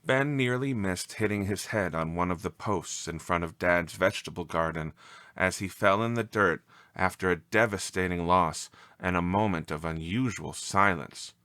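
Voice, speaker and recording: A man reading a scripted story as narrator, with an expressive, measured and dramatic delivery. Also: deep voice, dry recording.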